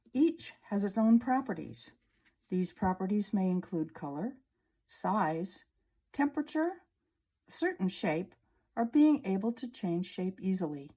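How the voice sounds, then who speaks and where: A woman teacher reading a children's science book aloud, slowly and clearly, at a table indoors. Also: mid-range voice, warm tone. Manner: slow, clear storybook reading. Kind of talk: read-aloud lesson for children.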